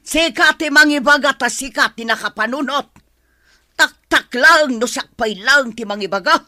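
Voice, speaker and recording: Sharp, bright-voiced older mother-in-law. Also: high-pitched, moderate pace, dry recording, studio quality. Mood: scolding. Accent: Ilocano. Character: sharp-tongued older mother-in-law, mahjong-playing grandmother. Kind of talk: Ilocano radio drama acting.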